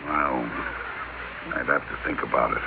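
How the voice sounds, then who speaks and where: A man's voice: rumbling low, fairly even intonation, normal speed, creaky, slight background noise, full character voice. An actor playing a reluctant, conscience-troubled businessman and older brother in an old-time radio drama. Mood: troubled, reasoning. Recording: muffled old radio broadcast, music behind.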